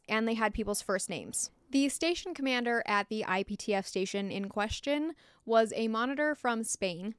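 A woman's voice: fairly high pitch, clear, resonant tone, dry recording. A young American woman narrating a scripted true-crime story in a frank, opinionated and informal way, close to a desktop microphone. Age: young adult. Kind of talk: scripted narration with candid asides. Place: small log-walled room indoors.

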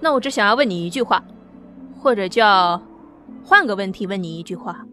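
A woman's voice: medium pitch, animated, a little fast, a bit bright, faint music behind, voice pushed down, lightly acted. A woman voicing an anxious young woman who presses and pleads, in an audio drama. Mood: worried.

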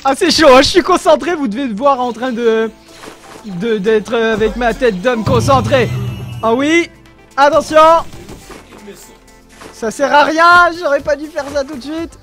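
A young man giving excited commentary over his own gameplay, exclaiming often and talking straight to his viewers, recorded on a desk mic with headphones on. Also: very high-pitched, unhurried, long pauses, soft background music. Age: young adult. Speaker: gaming video creator.

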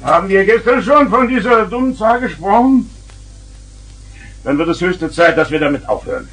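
A man's voice: high voice, lively intonation, normal speed, fairly bright tone, husky, full character voice. An actor playing an exasperated, lecturing father of two young girls in a 1970s German children's radio play. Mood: indignant. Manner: stern, lecturing.

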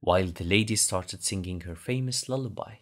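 A man's voice: sits low, fast, a bit bright. A man with a Lebanese accent narrating a bedtime story as a father would, explaining patiently.

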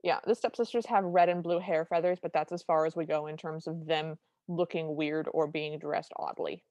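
A woman co-hosting a podcast, casually recapping a film with dry, deadpan humor. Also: sits low, normal speed, no room sound. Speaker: podcast co-host.

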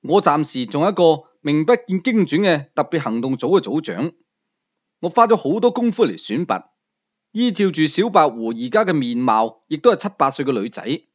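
A man's voice: on the high side, very animated, normal speed, muffled recording. A man with a Cantonese accent narrating a scripted first-person novel as an audiobook storyteller.

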